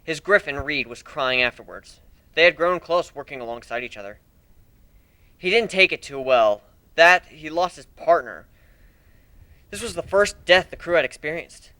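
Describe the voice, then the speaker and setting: A man giving an amateur dramatic reading of a first-person story, expressive and a little unpolished. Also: fairly high pitch, moderate pace, no echo. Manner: expressive, animated, with slips and restarts.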